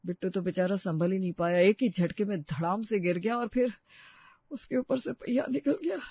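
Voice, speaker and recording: A man's voice: high voice, moderate pace, dry recording, muffled recording. A man voicing an earnest, placating elderly father in grief for a Hindi cartoon moral story. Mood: sorrowful. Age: older. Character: grieving, placating elderly family father mourning his Bittu.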